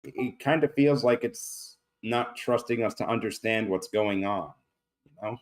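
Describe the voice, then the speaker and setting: A man's voice: on the low side, measured pace. A man giving casual, blunt, explanatory criticism in a group feedback discussion. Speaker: table read participant giving feedback.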